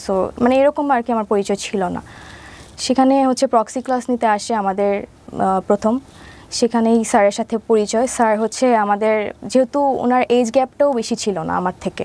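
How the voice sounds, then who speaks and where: A woman's voice: mid-range voice, darkish tone. A woman of around twenty from Mymensingh with a Bangladeshi accent, telling her life story conversationally as an interview guest, step by step with habitual filler phrases.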